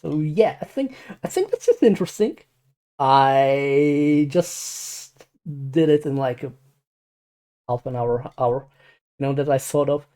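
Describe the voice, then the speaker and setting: A man's voice: on the high side, animated, slow pace, warm tone. A man with a Czech accent explaining casually and unscripted as an amateur, rambling hesitantly with repeated words and apologies. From Czech Republic. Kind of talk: casual unscripted screen tutorial.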